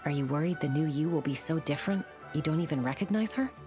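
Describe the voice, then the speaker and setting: Low-pitched, reassuring young woman. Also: lively intonation, quick pace, music in the background. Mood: reassuring, thoughtful. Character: caring, thoughtful young woman, steady and reassuring. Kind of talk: video game voice acting.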